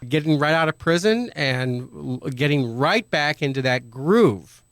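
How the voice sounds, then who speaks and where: A man's voice: fairly high pitch, lively intonation, a little slow, fairly bright tone, no echo, clean studio sound. Earnest male radio host. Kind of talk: radio interview.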